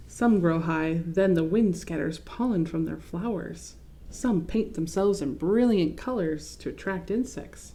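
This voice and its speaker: A woman voicing a cheerful father in a picture book who explains things gently. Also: on the low side, unhurried. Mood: explaining.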